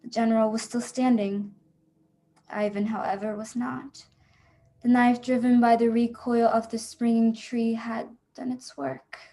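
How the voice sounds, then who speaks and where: A woman acting a hunted, defiant adventurer in a radio play. The voice is fairly high and keeps to a narrow range, telling the tale in dramatic, suspenseful first person. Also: little pitch movement, a little slow, mellow. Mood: tense, desperate. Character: hunted adventurer narrating her escape, tense and defiant.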